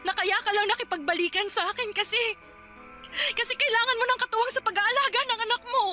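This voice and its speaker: A woman's voice: very high voice, quick pace, bright, forceful tone, faint music behind. An actress playing a woman who presses her partner for commitment in a radio drama, pleading and confrontational.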